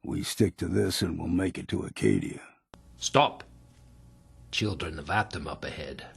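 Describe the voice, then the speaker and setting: Gravelly old island hunter. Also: male voice, low-pitched, sing-song, measured pace, heavily acted. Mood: grave, instructive. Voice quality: gravelly, raspy. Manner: curt, folksy, plain-spoken. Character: gruff, hard-drinking old male island hunter and guide, wary but loyal. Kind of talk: video game character dialogue.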